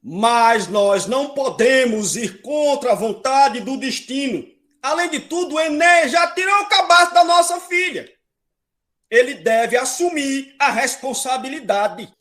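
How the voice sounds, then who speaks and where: A man's voice: very high-pitched, expressive, unhurried, fairly bright tone, exaggerated voice. An actor playing a stern, fatalistic father king in a comedic mock-epic audio drama, declaiming with authority and slipping into colloquial speech. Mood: exasperated.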